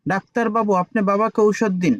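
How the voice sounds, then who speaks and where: A man's voice: high voice, quick pace, no room sound, close to an everyday voice. A man voicing a harried, skeptical, chiding father in a comic village cartoon. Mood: fed up. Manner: fed up, chiding.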